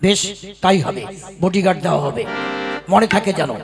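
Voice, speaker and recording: A man's voice: high-pitched, brisk, creaky. A man voicing a bossy, hot-tempered king in a comic puppet-show cartoon, giving commands and passing sentences. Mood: commanding. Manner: commanding, scolding, pronounces sentences. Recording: music bed under the voice. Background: music.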